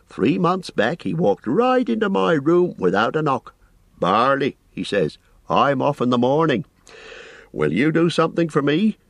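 Flustered old innkeeper, as a male reader puts him on; high, lively, rambling, dry sound. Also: no echo. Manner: rambling, flustered, drawn-out phrasing. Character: fussy, forgetful, anxious old male innkeeper.

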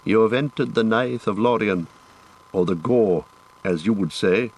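A stern elf guardian lays down the law in a measured, formal voice, as a male narrator reads him. His pitch sits in a natural middle range, close to the narrator's own. The delivery is grave and steady. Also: poor audio.

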